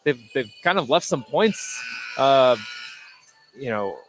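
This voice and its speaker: Male color commentator, medium pitch, conversational and halting with repeated words, live gym broadcast with light background noise. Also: expressive. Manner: halting, repeats words while forming points. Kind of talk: live conversational sports analysis. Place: indoor basketball gym.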